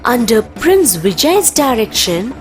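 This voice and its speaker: A woman narrating a scripted children's story as the storyteller of an animated video. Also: a little high, slow pace, soft background music.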